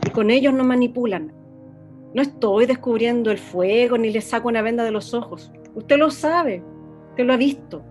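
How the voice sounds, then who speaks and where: Woman doing a chilean radio director pleading with her listeners, highish voice, soft music behind. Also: close to an everyday voice. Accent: Chilean Spanish. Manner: solemn, pleading, halting and self-correcting. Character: earnest female radio station director, a mother. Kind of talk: radio drama acting.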